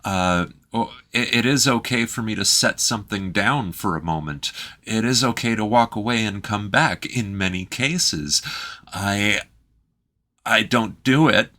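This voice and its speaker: Man from Canada, bright tone, lowish pitch, measured and chatty reaction commentary. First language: English. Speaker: host reacting to a video.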